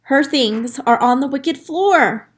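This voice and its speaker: A woman reading a children's story aloud in an expressive, animated way, with dramatic emphasis on key lines. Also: sits high, takes it slowly. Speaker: school staff reader reading aloud to children.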